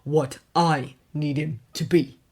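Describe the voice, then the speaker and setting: A woman's voice: sits low, slow, breathy edge, heavily acted. Woman reading as a cold, guarded rich man. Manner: clipped, hard, deadpan. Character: stern, guarded wealthy man, cold and curt.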